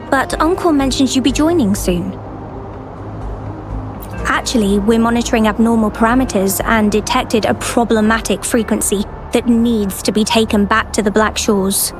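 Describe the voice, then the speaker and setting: A woman's voice: fairly high pitch, moderate pace, over music, natural voice. A woman voicing a polite, conscientious young field researcher in a video game, earnest and slightly hesitant. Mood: surprised, apologetic. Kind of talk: video game character dialogue.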